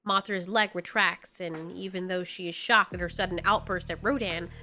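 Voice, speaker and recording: A woman's voice: fairly low pitch, steady tone, normal speed, bright, forceful tone, slight background noise. A woman reading scripted narration for an audio drama in a dramatic storytelling manner.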